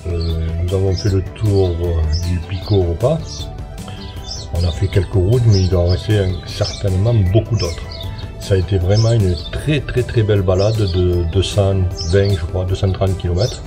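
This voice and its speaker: A man's voice: sits low, steady tone, moderate pace. A man from the south of France chatting to his viewers as a motorcycle travel vlogger, friendly and easygoing. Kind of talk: casual travel vlog narration. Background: background music.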